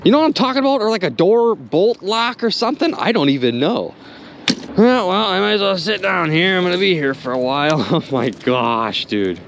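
Male outdoor vlogger, animated and chatty, faint outdoor hiss. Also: fairly high pitch. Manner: excitable, chatty, keeps addressing viewers as "you guys". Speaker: magnet fishing vlogger. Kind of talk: excited outdoor vlog commentary. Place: outdoors by a river.

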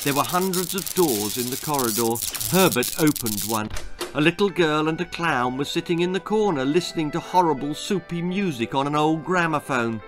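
A man in his sixties reading a children's story dramatically, with an expressive, measured, suspenseful delivery. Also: fairly high pitch. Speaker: author reading own story. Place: small book-lined room at home. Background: music.

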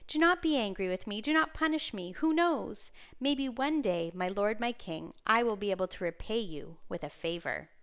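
A woman voicing a small young bee in a children's story, humble, flattering and pleading. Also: medium pitch, a little slow, hardly any pauses, smooth. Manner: pleading, deferential.

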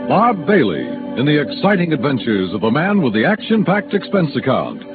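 An actor as a grand, emphatic announcer introducing a 1950s radio show. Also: male voice, fairly high pitch, huge pitch swings, normal speed. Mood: grand, excited. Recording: tinny old broadcast under loud music.

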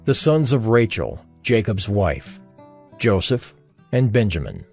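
A man narrating scripture in a solemn, deliberate way for a dramatized audio Bible. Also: on the low side, very animated, gravelly, husky, soft background music.

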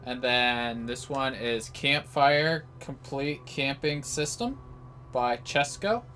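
Male gaming host, casual live commentary, forward bright tone, mid pitch. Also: takes it slowly, a little hiss or hum.

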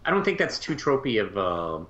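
A man's voice: lowish voice, big pitch swings, moderate pace, a bit bright, highs cut off. A man in casual conversation as a podcast co-host, hesitant and thinking aloud as he goes. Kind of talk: casual chat.

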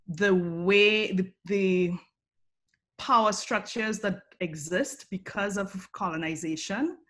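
A woman's voice: fairly low pitch, a bit bright. A woman from Trinidad and Tobago with a Trinidadian accent, speaking in a thoughtful, personal way as a panelist on a video call.

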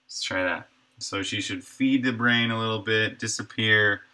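A man in his thirties, a game developer thinking aloud in a halting, musing way at his home desk while streaming his work. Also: fairly low pitch, steady tone, bright, forceful tone. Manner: musing, halting, talks to himself.

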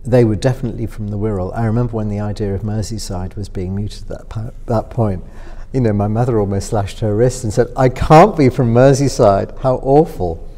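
A middle-aged man from Northwest England with a softened upper-middle-class accent, musing through interview answers with many fillers and restarts. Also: fairly low pitch, a little fast, few pauses. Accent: upper-middle-class Northwest English softened by London. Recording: voice with long hall reverb.

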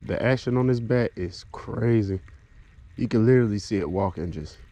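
Low-ish guy w a stuffy nose, chill fishing commentary by a creek, faint woods sound. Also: moderate pace, a little hiss or hum. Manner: relaxed, explains lure action while casting. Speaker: fishing vlogger. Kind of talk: casual outdoor commentary. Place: wooded creek bank outdoors. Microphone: body-mounted action camera. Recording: faint outdoor ambience.

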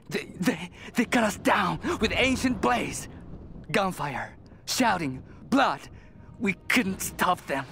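An actor playing a terrified, doomed Japanese soldier in a video game, speaking in an anguished, halting way. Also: male voice, high-pitched, fairly bright tone, slight background noise, heavily acted. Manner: halting, haunted, anguished delivery. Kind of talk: dramatic video game diary narration.

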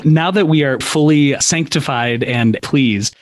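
A man's voice: fairly high pitch, unhurried, no room sound. A man hosting a podcast, introducing his guest and asking playful, enthusiastic questions. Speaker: podcast host.

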